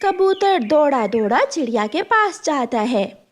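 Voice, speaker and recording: A woman's voice: sits high, sing-song, measured pace, background noise. A woman telling a scripted children's story as its narrator.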